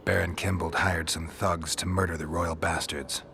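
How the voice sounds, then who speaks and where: An actor playing a stoic monster hunter in a fantasy game, speaking tersely and deadpan in a gravelly voice. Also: male voice, deep voice, moderate pace, a little hiss or hum, exaggerated voice. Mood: matter-of-fact. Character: gruff, stoic male monster hunter for hire. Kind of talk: video game dialogue acting.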